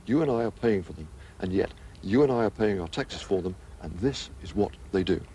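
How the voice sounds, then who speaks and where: Stern low-voiced policeman. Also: lively intonation, fairly quick, mellow, a little hiss or hum, low-quality recording. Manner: stern, repetitive, rhetorical. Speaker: senior police officer interviewed. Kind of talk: interview statement.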